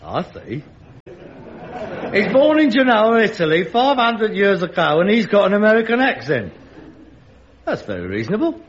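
An actor playing an exasperated, sarcastic grown son with a London accent in a sitcom, theatrical and incredulous. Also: male voice, sits high, big pitch swings, moderate pace, long pauses, some chatter behind. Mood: scornful. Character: scornful, exasperated grown son of an elderly father. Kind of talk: studio sitcom acting. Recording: dull, noisy archive recording.

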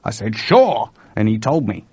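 Jittery young tech guy done by a man, husky, talks high and urgent, dry dull recording. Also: moderate pace, dry recording, full character voice. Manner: excited, talkative, urgent. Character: urgent, nervous young male computer technician.

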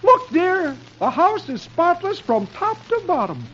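An old, muffled radio recording features an excitable comic-strip husband. The voice leaps very high and swoops dramatically, overwrought in every phrase like a funny-pages family man. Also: moderate pace, noisy background. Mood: cheerful. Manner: moaning, exclaiming, overdramatic.

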